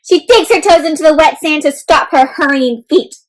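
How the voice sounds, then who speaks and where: A woman reading a picture book aloud to children, expressive and full of questions, exclamations and little sound effects. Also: high-pitched, moderate pace. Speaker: children's read-aloud storyteller.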